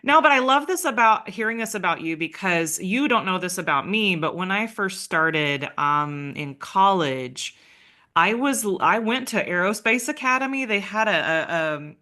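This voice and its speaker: A woman's voice: on the low side, expressive, normal speed, sharp tone. A woman hosting a podcast, chatty and enthusiastic, laughing often. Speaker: podcast host. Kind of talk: casual interview chat.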